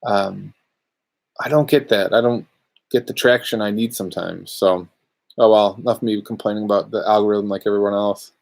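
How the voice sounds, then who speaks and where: A man talks loosely to viewers from his shop during a live question-and-answer stream. His voice sits a little low and his delivery is relaxed and rambling, jumping from one comment to the next. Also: moderate pace, gravelly. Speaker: luthier podcast host. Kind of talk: casual live Q&A chat. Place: workshop.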